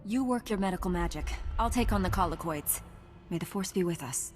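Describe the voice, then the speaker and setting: A woman's voice: fairly low pitch, animated, brisk, soft background music, lightly acted. Woman acting a resolute, composed space-knight heroine. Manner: earnest, composed. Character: resolute, earnest female Jedi knight heroine. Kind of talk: video game cutscene acting.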